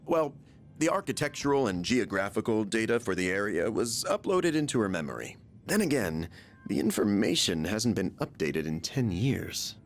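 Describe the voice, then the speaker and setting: An actor voicing a composed team strategist in a video game, formal and deliberate. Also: male voice, fairly low pitch, big pitch swings, soft background music.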